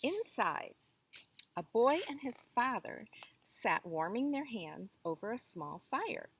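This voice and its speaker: A woman reading a children's story aloud with expressive, deliberate phrasing. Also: on the high side, gravelly, breathy edge, rough recording.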